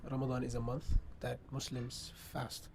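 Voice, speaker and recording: A man's voice: mid-range voice, monotone, normal speed. Young man in a noisy interview. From Ethiopia. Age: young adult. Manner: hesitant, careful with wording. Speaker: interview guest, international student. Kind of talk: conversational interview answers. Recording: clearly noisy background ambience.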